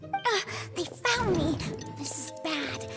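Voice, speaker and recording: An anxious little girl in a game cutscene. The voice is extremely high and slow, fretful, with music underneath. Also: sing-song, creaky, exaggerated voice. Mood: panicked. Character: anxious small girl decoy on the run. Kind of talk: English video game cutscene acting.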